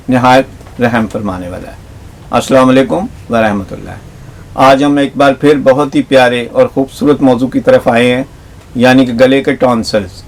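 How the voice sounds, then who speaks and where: A man giving an earnest health talk about herbal remedies, listing one ill effect after another. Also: medium pitch. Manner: repetitive, list-like, earnest delivery. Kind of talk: instructive health talk to camera.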